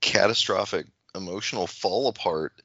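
A very low male voice with a strikingly forceful, bright edge talks through his thoughts casually and reflectively. He starts, stops and rephrases as he goes, like a podcast co-host in discussion. The recording is dry and a little dull. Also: slightly rough, no room sound. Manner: halting, self-correcting. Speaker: teacher. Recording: slightly dull, lo-fi audio.

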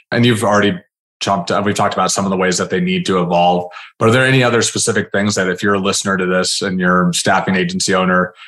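A man's voice: deep voice, a bit bright. A man hosting a conversational interview, agreeable and thinking aloud as he talks. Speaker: podcast host.

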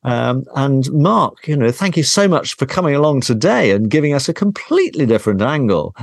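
A man's voice: medium pitch, sing-song, fairly quick, creaky, dry recording, studio quality. A man hosting a podcast, enthusiastic and a little rambling. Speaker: podcast host. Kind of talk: casual podcast chat.

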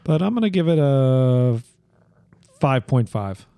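A man co-hosting a beer-tasting podcast, relaxed and agreeable, rambling a little as he gives his ratings. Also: medium pitch, takes it slowly, creaky, clean tone, no echo. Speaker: podcast co-host. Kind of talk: casual beer-tasting chat.

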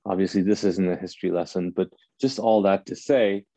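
A man in his forties, from Kuwait, gives a personal, reflective talk to his audience in a halting, thoughtful way, searching for his words. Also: low voice, fairly even intonation, warm tone, no room sound, lo-fi sound. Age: 40s. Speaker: speaker addressing an audience.

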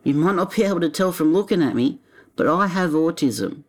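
A man's voice: on the high side, lively intonation, fairly quick. A man reading scripted, informational narration and speaking as himself.

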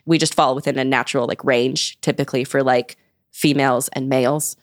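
A woman's voice: extremely low pitch, fairly even intonation, fairly quick, dry recording, clean studio sound. A young woman in casual podcast chat, explaining her research at length with many "like"s. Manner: explanatory, uses "like" often. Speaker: guest researcher.

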